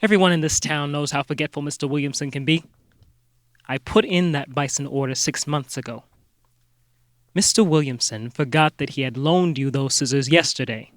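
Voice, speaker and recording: Earnest, self-important sleuth played by a man, lighter-pitched, dry close sound, comedic detective drama. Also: normal speed, no room sound, natural voice. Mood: solemn, accusing. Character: self-serious part-time vegan amateur detective.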